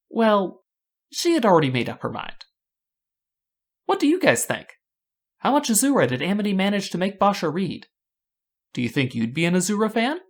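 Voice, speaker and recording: A woman narrating scripted fan analysis as a video host, with a wry, rhetorical delivery. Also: sits low.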